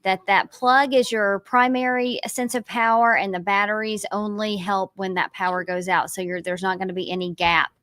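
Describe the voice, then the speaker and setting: A woman's voice: mid-range voice, steady tone, normal speed, hardly any pauses, a bit bright, no echo. A woman presenting a live local TV news segment as the anchor.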